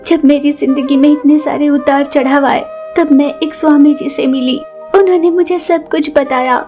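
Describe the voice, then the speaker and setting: A woman's voice: high-pitched, steady tone, moderate pace, dark tone, soft background music, lightly acted. A woman voicing a kind, selfless village wife and mother with an earnest manner in a cartoon story. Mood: forgiving, earnest.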